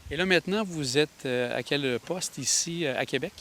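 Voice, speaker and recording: A man's voice: on the high side, normal speed, a bit bright, a little hiss or hum. A man interviewing a priest for local television, asking long questions that stumble and restart.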